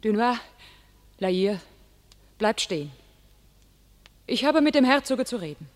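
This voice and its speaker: A woman's voice: mid-range voice, big pitch swings, unhurried. A woman playing a young female warrior prophet, declaiming solemn verse in a classic radio play, sometimes dropping to a whisper. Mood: pleading. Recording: radio drama with faint music.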